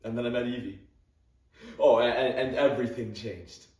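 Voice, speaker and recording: A man's voice: medium pitch, fairly even intonation, moderate pace, darkish tone, muffled recording, close to an everyday voice. A young man playing an awkward, grieving male English teacher who stammers, repeats his words and gives nervous little laughs, in a dramatic monologue. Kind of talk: competitive dramatic interpretation monologue. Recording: roomy sound from a distant camera.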